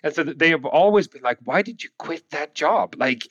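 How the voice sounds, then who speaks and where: A man in his forties from Sweden with a Swedish accent, telling his story as a podcast guest in a relaxed way with frequent chuckles. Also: a little high, fairly quick, dry recording, dull audio. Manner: relaxed, chuckling, restarts often. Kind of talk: conversational interview.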